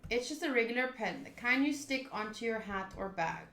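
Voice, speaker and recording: A woman's voice: on the high side, lively intonation, a little fast. A woman streaming a game, reading the story aloud and adding casual commentary. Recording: roomy echo, faint game music behind.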